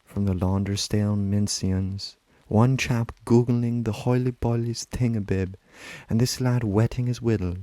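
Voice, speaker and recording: A man's voice: lowish voice, fairly even intonation, unhurried, dark tone, no echo, natural voice. A man reciting a lyrical literary monologue as a weary, tender aging wife and river woman, in an intimate manner. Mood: reminiscing.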